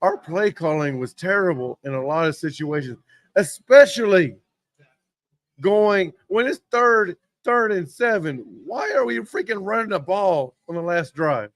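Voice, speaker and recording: A hoarse man hosting a casual sports talk show from a sports bar, rambling and getting worked up over play calls. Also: high voice, expressive, measured pace, no room sound. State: hoarse from yelling. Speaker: host.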